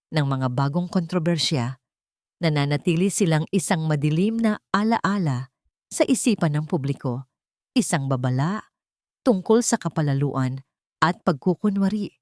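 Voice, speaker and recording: A woman's voice: sits low, a little mellow, no room sound, clean studio sound. A woman reading scripted, dramatic narration as a storyteller.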